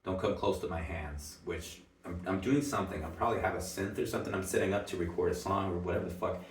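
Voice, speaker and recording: Low-voiced man, native Swedish speaker, rambling musician chat, slightly off-mic in an echoey room. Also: fast talker, distant mic, a little hiss or hum. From Finland. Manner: rambling, swears casually, laughs at himself. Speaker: musician and video creator. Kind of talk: casual commentary on old recordings.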